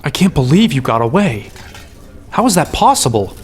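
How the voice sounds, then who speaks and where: A man's voice: a little high, sing-song, moderate pace. A young actor playing an earnest fantasy hero, telling his adventure in conversational first person in an audio drama. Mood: agitated, incredulous. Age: young adult.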